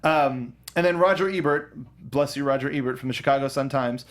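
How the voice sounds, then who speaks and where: A man's voice: mid-range voice, brisk. Rambling young man reviewing a film. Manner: rambling, many restarts and fillers. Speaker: podcast co-host. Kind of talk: casual movie review chat.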